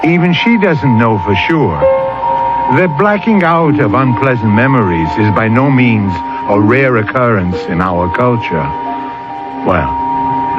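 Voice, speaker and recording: Male radio narrator, wry, deliberate, highly animated pitch, loud music behind, vintage broadcast. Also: lo-fi sound. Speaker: radio drama host and narrator. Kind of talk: theatrical scripted narration, 1970s radio.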